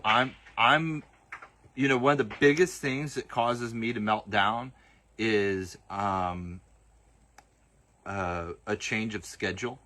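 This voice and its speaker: Lowish, bright-voiced man with a soft Southern accent. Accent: Southern US, partly masked. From Southern United States. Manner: hesitant, many fillers and restarts. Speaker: host of a livestream. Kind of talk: casual livestream Q and A.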